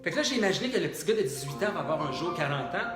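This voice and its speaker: High-pitched, theatrical Quebec French cabaret man. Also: expressive, very fast. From Quebec, Canada. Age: middle-aged. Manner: talkative, joking, theatrical, colloquial. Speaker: cabaret drag diva, singer and host. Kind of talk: live cabaret show, chatty patter between songs. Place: indoors in pianist's home, live online show. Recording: roomy live stream with piano music. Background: piano music.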